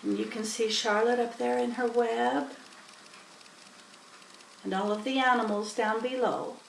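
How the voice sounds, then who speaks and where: A woman's voice: a little high, fairly even intonation, measured pace, vocal fry. A woman narrating a children's storybook aloud. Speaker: storybook reader. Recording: roomy, distant mic, faint hiss.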